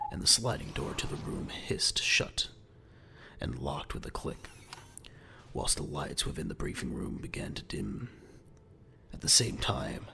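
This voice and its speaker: Very deep, gravelly male narrator. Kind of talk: dramatic scripted audio drama narration.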